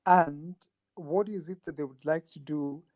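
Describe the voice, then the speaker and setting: A man joining a political panel as a guest, speaking thoughtfully with pauses. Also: on the high side, darkish tone, no room sound. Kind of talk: discussion of politics.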